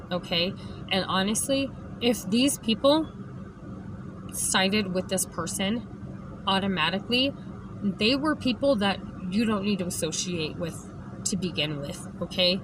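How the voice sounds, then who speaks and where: Hoarse woman ranting straight to camera. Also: medium pitch, animated, slow. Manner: emphatic, keeps adding "okay?". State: hoarse, lost voice. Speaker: vlogger. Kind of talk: direct-to-camera rant. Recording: background noise.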